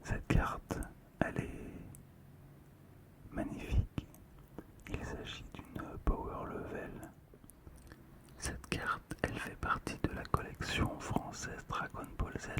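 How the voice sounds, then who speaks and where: An ASMR host whispering softly and slowly while presenting and commenting on collectibles in a hushed voice. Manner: whispers throughout, slow and hushed.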